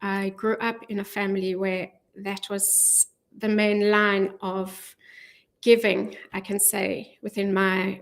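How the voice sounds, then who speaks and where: A middle-aged woman speaking as a guest in a radio studio interview, earnest and measured, with frequent ums, on a studio microphone. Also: medium pitch. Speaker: guest, charity volunteer. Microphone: studio mic with headphones.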